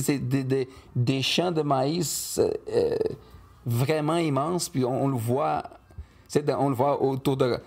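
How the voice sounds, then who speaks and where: Guy cohosting a podcast, portuguese speaker, casual chat, mid-pitched voice. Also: darkish tone. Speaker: podcast co-host.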